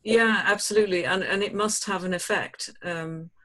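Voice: mid-range voice, steady tone, a bit bright. Adult speaker leading a class discussion. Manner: measured, thoughtful, many ums. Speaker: discussion leader.

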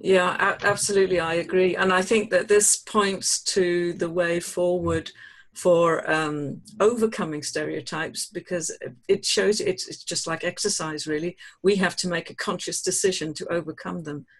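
Adult discussion leader, mid pitch, measured, thoughtful, hesitant ums. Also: fairly even intonation. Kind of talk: moderating classroom discussion.

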